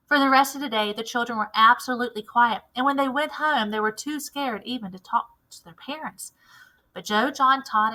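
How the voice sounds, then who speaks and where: A woman's voice: fairly high pitch, sharp tone, poor audio. A woman reading aloud as a teacher, narrating a children's storybook expressively.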